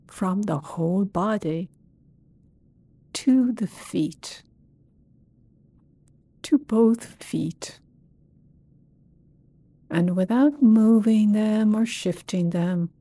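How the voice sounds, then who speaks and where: Woman, guided meditation, soft dark tone, long unhurried pauses, close dry recording. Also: mid-range voice, slightly rough, dry recording. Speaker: meditation teacher. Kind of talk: guided body scan meditation.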